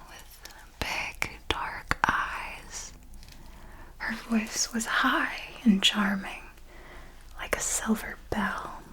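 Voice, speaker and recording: Slow whispering woman as a seductive vampire. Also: medium pitch, darkish tone, poor audio. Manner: slow, deliberate, theatrical storytelling. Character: ancient female vampire mentor, seductive, possessive, darkly elegant. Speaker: ASMR roleplay performer. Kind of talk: whispered ASMR roleplay monologue.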